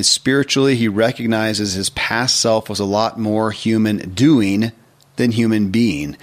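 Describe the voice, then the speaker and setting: A man hosting a podcast, talking in an earnest, explanatory way. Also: mid-range voice. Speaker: podcast host. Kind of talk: podcast intro and interview talk.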